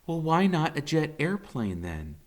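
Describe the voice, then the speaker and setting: A patient, wry mother with a gentle, dry way of talking, as a male reader lifts his voice a little for her. It is a storybook voice, a touch higher than his own and lively in its rise and fall. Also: a little slow.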